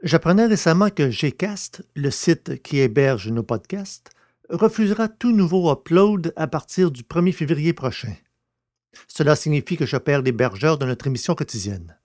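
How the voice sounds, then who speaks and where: A man reading as a podcast host and narrator, with measured, articulate, scripted delivery. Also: fairly high pitch, expressive, darkish tone, no echo, studio quality. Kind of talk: scripted podcast presentation and audio novel narration.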